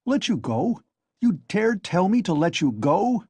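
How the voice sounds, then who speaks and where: A furious young man bellows and scolds his friend, as a male narrator puts him on. The voice sits high and husky, with big lively swings. The sound is dry and close. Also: unhurried, dry recording, full character voice. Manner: shouting, scolding.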